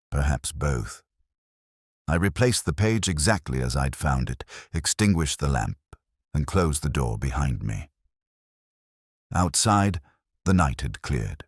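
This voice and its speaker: Very low male voice, actor as a brooding police inspector, solemn literary narration, expressive swings, detective audio drama. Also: no room sound, studio quality, exaggerated voice. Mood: somber, reflective. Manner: solemn, literary, measured phrasing.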